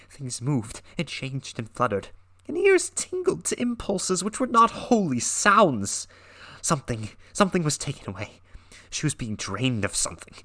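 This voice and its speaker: A young man giving a dramatic reading of a horror story, swinging from hushed whispers to intense raised lines, with casual, sarcastic asides in between. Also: lowish voice. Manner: casual and filler-heavy when recapping, with sarcastic asides. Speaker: reader and reviewer.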